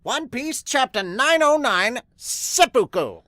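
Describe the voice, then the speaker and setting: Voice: high-pitched, sing-song, sharp tone, no room sound, studio quality, voice pushed up, heavily acted. A podcaster voicing a bold, dramatic manga announcer, reading titles in a grandiose, emphatic way. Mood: grand, excited. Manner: grandiose, emphatic, drawn-out words.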